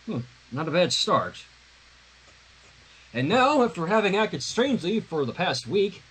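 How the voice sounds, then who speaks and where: A man reads fan fiction aloud as a host. His voice sits high for a man and swings widely in pitch, with a husky grain. He narrates expressively and drops in casual commentary. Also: low-quality recording. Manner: expressive, acts out dialogue. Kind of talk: dramatic read-aloud of a story with casual commentary.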